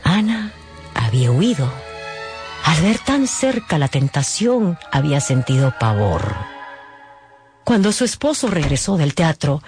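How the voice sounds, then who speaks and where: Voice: medium pitch, big pitch swings, unhurried, a little mellow, soft background music. A narrator telling a radio novel in a scripted, dramatic style.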